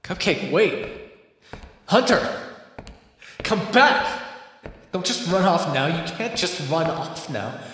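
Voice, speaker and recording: A man's voice: fairly high pitch, big pitch swings, a bit bright, slight background noise, exaggerated voice. A man voicing a starving captive vampire in an immersive roleplay monologue, sardonic and flirtatious with a desperate edge. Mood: desperate, angry. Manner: sardonic, teasing, breathy pauses and sighs. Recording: roomy, echoing sound.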